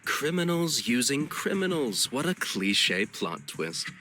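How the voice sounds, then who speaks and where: An actor playing a pompous, grandiose villain, a menacing cult zealot in a video game. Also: male voice, mid-range voice, big pitch swings, slow, pushed and bright, heavily acted. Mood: haughty. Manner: grandiose, gloating.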